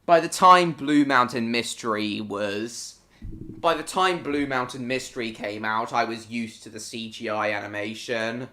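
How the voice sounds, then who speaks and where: A man from Hong Kong hosts a casual gaming live stream, talking to viewers by name in a bright, edgy tone. He rambles and repeats himself as he talks. When the game goes wrong he breaks into swearing. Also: medium pitch, measured pace. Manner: bursts into swearing at game mishaps.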